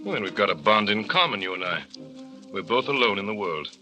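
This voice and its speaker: Brusque brooding widower. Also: male voice, lowish voice, animated, fairly quick, pushed and bright, faint music behind. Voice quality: clipped, brusque, dry. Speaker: actor. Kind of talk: 1940s radio acting.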